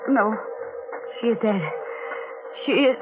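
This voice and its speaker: An actress playing a distraught, jealous young woman who killed her sister, speaking in halting, fretful repetitions in 1940s radio style. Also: a little high. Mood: hysterical. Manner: halting, fretful, repeating herself. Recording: tinny band-limited 1940s radio with music.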